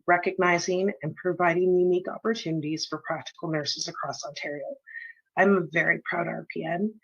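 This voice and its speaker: A woman with a slight cold, her voice a little scratchy, giving a humble, somewhat halting thank-you speech for an award. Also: deep voice, a bit bright, dry recording. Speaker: award recipient. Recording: dull lo-fi audio.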